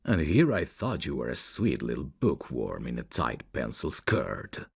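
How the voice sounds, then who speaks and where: Guy acting as a menacing mafia boss, super low gravelly voice, teasing and taunting, asmr roleplay. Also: huge pitch swings, dry recording, clean studio sound, exaggerated voice. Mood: teasing, flirtatious. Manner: slow, deliberate, taunting. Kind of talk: ASMR roleplay audio drama.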